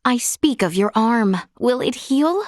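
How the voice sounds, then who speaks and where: A gracious young noblewoman from a fantasy video game. Her voice sits on the high side and is recorded very dry and close. She speaks with formal, archaic courtesy and an earnest, resolute temper. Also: animated, a little slow, no echo. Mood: composed.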